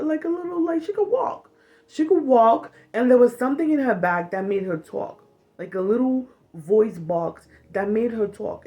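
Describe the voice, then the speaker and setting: A woman in her thirties from Cameroon, talking frankly to a phone camera in a small bedroom, animated and swearing freely as she speaks about healing from trauma. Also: on the high side. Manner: frank and animated, swearing freely, acts out little quoted lines. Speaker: vlogger. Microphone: phone camera at arm's length.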